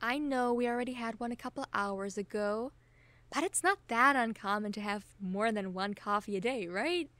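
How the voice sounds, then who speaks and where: A woman's voice: on the high side, normal speed, a bit bright, no room sound, natural voice. A young woman acting as a friendly, caring best friend with a crush, chatting casually and teasingly in an intimate audio roleplay. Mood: fond, chatty. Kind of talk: intimate ASMR-style audio roleplay, casual one-sided chat.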